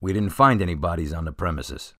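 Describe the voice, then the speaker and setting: A man's voice: low voice, fairly quick, a bit bright, no room sound, studio quality. An actor playing a plain-spoken, skeptical male police officer in a radio drama, matter-of-fact and defensive.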